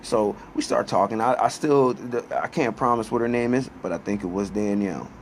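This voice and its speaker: A man's voice: lowish voice, brisk. A man in his thirties telling a casual, profane personal story in a slangy, conversational way, quoting dialogue as he goes. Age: 30s. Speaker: storyteller and host. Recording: clear background noise.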